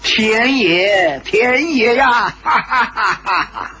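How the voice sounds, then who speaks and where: A man's voice: high-pitched, lively intonation, slow, sharp tone, highs cut off, voice pushed up, full character voice. A man voicing a hot-tempered, cursing old martial-arts grandfather in a dramatised wuxia story, mocking and belligerent, with a bellowing, crude delivery. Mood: mocking glee. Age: older.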